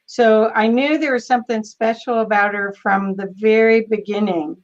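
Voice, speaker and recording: A woman hosting over a video call, enthusiastic and halting as she introduces her guest. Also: fairly high pitch, fairly even intonation, dull audio. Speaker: host. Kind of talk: casual video call chat.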